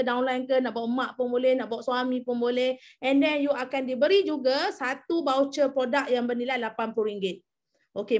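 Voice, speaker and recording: A young adult woman with a Malaysian accent leading an online team briefing, coaxing and repeating key numbers while asking her team to reply. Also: high-pitched. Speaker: MLM team leader presenting an incentive program.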